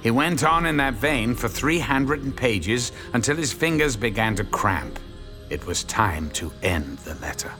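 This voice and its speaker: A man narrating a dark story in a dramatic, brooding manner, letting phrases sink into a hush. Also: medium pitch, expressive, a little slow, over music.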